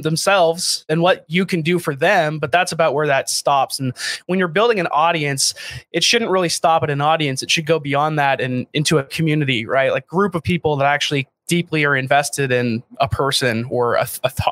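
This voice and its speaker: A talkative American man in his late twenties, guest on a podcast, pitched a little above most men. He rambles casually through fillers and restarts, poking fun at himself. The sound is dry and close, with no room around it. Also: fairly bright tone, no echo. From United States. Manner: chatty, self-deprecating, many fillers and restarts. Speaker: podcast guest, startup founder. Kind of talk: casual interview talk.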